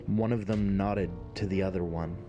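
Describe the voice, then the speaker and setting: A man narrating a scripted fantasy story in a measured, dramatic way. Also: deep voice, fairly even intonation, dull audio, over music.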